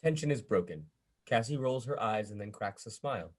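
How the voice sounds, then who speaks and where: A man narrates a screenplay at a table read, reading out stage directions and scene headings. His voice is rough and husky, sitting somewhat low. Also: lively intonation, a little fast.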